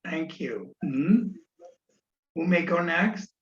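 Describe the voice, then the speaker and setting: A man's voice: on the high side, expressive, slow pace. A man facilitating an online meeting, speaking informally with polite, hesitant phrasing. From Netherlands. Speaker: session facilitator. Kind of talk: unscripted introduction at an online meeting. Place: remote video meeting. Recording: echoey, dull online meeting audio.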